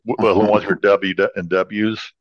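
Guy podcast host w a lowish voice, cracking jokes, kinda lo-fi muffled audio. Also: little pitch movement, moderate pace, no room sound. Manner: wisecracking, jokey. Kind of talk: casual joking chat.

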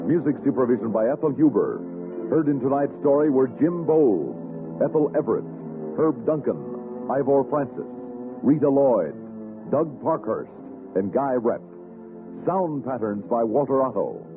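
A man's voice: mid-range voice, lively intonation, normal speed. A man announcing a 1960s radio drama series in a formal, dramatic style. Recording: tinny old radio broadcast, music behind. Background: music.

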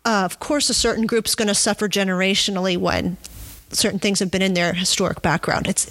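A woman interviewing casually, thinking aloud in a halting, tentative and reflective way. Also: mid-range voice, steady tone, a bit bright. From United States. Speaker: podcast interviewer.

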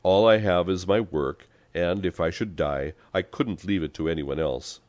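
Man playing a quiet, unflappable little gentleman. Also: deep voice, no echo, natural voice. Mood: calm. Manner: quiet, courteous, measured. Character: thin, middle-aged gentleman, polite, mild, unflappably calm.

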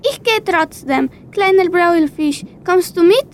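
A woman's voice: very high-pitched, expressive, a little slow, slight background noise. A teenage girl playing a caring rainbow fish, brave yet fearful, in an amateur school radio play. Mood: reassuring, determined.

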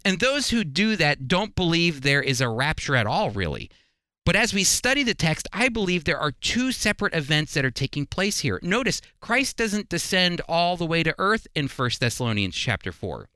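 A man's voice: on the high side, bright, forceful tone, clean tone, no echo, studio quality. A man teaching the Bible in a sermon style, emphatic and putting rhetorical questions to his listeners. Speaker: Bible teacher and program host.

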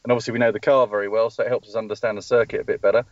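A man's voice: lowish voice, flat delivery, very fast, no room sound, muffled recording. A man speaking as a motorsport team spokesperson in a live call-in interview, rambling with many ums and restarts, calling in from a race track. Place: at a race track testing session.

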